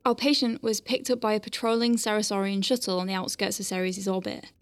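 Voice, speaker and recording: A dry-witted female space-station doctor played by an actress in a sci-fi audio drama, with a medium-pitched voice over clearly audible background ambience. She dictates case notes in a capable, clinical way and slips into wry, conversational asides. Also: flat delivery, fairly quick, noisy background, close to an everyday voice. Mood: brisk, wry. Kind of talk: science-fiction audio drama, spoken log.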